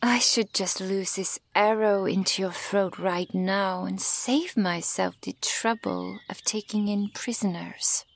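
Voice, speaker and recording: Stern, creaky-voiced elven archer woman, played by an actress. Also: mid-range voice, steady tone. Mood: cold, threatening. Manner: measured, dramatic, accusing. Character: centuries-old elven archer woman, stern, bitter toward humans. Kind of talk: ASMR fantasy roleplay audio acting.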